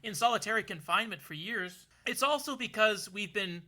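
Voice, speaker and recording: A young man holds forth in an unusually high, sharply bright voice. He talks earnestly, stumbling over repeated words as he builds his point, and his voice sounds a touch worn. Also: brisk. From Canada. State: losing his voice. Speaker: podcast co-host. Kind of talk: casual political discussion.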